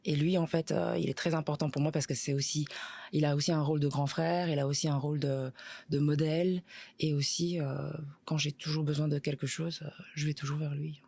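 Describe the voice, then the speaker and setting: A woman's voice: low-pitched, steady tone, brisk, creaky, dark tone, slightly rough. Chatty woman in her thirties, podcast guest. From Belgium. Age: 30s. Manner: chatty, hesitant with many fillers, laughs easily. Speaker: podcast guest, content creator. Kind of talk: casual interview chat.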